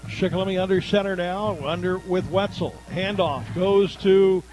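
A man's voice: high-pitched, steady tone, moderate pace, music in the background, rough recording. A man doing live play-by-play commentary of a football game for radio. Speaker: play-by-play announcer.

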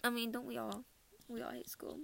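A woman's voice: a little high, little pitch movement, brisk, gravelly, slight background noise. A teenage girl from Minnesota chatting casually about her month, rambling and laughing often. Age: teens. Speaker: podcast host. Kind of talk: casual personal chat.

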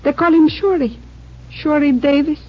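Woman doing plaintive high voiced widow in old radio drama, proud, muffled sound. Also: moderate pace, lightly acted. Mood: sorrowful, plaintive. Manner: plaintive, simple, earnest phrasing. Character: sorrowful, proud widowed sharecropper's wife and mother. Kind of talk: 1950s radio drama acting.

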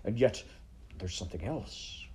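A miserable storybook rat, voiced by a male reader, full of self-pity and longing. The delivery is slow and moaning, with big melodramatic swings in pitch. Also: animated, a little mellow, slight background noise. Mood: wretched.

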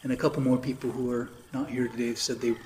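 A man, a priest recovering from illness, teaching a catechism class in a conversational way, his voice slightly raspy and a little weak. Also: medium pitch, flat delivery, fast, dark tone, a little hiss or hum. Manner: conversational, with many fillers and restarts. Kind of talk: informal religious teaching. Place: church classroom. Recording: echoey room.

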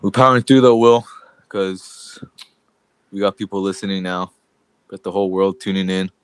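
Congested guy joking around, laughs a lot, lowish voice, dry close sound. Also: fairly even intonation, normal speed, clear, resonant tone, no room sound. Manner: laughs often, loose and joking. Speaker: podcast co-host. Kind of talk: casual chat.

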